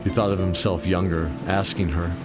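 Low-voiced male fantasy narrator over music. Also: fairly even intonation, normal speed. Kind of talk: scripted fantasy story narration.

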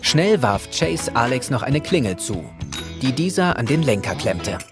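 A man's voice: medium pitch, sing-song, unhurried, over music. A man narrating a children's audio drama as a scripted storyteller.

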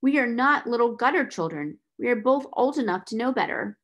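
Woman playing a motherly schoolgirl, storybook heroine, raised pitch, steady, firm, spirited. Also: fairly quick, lo-fi sound. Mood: restrained anger.

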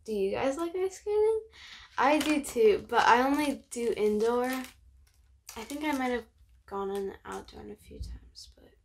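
Young teen girl reading a kids book out loud, chatty, highish voice, some noise behind. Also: animated, measured pace. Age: young teenager. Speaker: host of a read-aloud channel. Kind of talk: reading a picture book aloud with casual asides. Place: bedroom, sitting on a bed. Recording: clear background noise.

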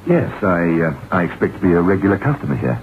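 An actor playing a curious, uneasy writer in an old-time radio horror drama, musing with hesitant, dramatic pauses. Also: male voice, deep voice, sing-song. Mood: wary curiosity. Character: curious, uneasy male writer narrating a haunted-house tale. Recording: muffled, hissy vintage radio recording.

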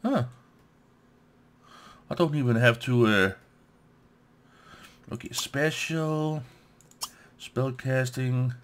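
A man hosts a casual let's play at an unhurried pace. He thinks aloud in a halting way, muttering to himself as he reads from the game. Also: mid-range voice, a little hiss or hum. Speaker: gaming let's play host.

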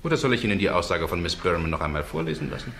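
A man's voice: low-pitched, animated, racing, vocal fry, fairly bright tone, a little hiss or hum, exaggerated voice. An actor playing a stern, authoritative male judge, formal and admonishing, in a German crime radio play.

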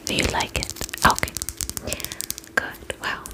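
A woman whispering softly and breathily in an ASMR spa roleplay, gentle and soothing as she attends to the listener. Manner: gentle, soothing, unhurried reassurance with soft repetitions.

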